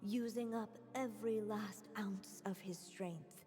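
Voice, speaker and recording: A woman playing a gentle, solemn storybook narrator, telling a fairy tale for a game in measured phrases. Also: mid-range voice, steady tone, natural voice. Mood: solemn storytelling. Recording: long reverb, music underneath. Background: music.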